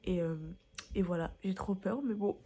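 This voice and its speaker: A young woman chatting casually to the camera in a vlog, low-key and often murmuring half under her breath. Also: moderate pace, mellow. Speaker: vlogger.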